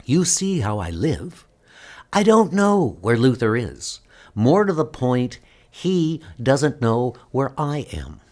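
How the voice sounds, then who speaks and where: A tough, wary woman bodyguard in hiding, played by a man for a comic dub. The delivery is slow, curt and threatening. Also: medium pitch, big pitch swings, darkish tone. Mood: defensive, wary. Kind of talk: comic book dub acting.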